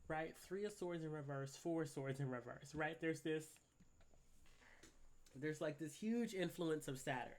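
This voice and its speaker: A man's voice: on the high side, measured pace. Congested man giving a rambling tarot reading. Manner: rambling, filler-heavy, keeps asking "right?". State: congested from a cold. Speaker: tarot reader. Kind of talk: casual spiritual reading to camera. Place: at a desk indoors.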